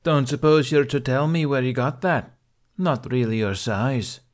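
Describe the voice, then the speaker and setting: A man voicing a gruff older Viking woman in an audiobook, lecturing in a stern, exasperated way. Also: medium pitch, a little slow, pitched above the performer's own voice, lightly acted. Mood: nosy.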